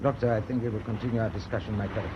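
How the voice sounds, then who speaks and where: An actor in a radio drama playing an imperious, impatient German ship's captain, curt, commanding and scornful. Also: male voice, lowish voice, fairly even intonation, racing, natural voice. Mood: shaken, grave. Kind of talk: British radio drama acting. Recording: dull, noisy old radio recording.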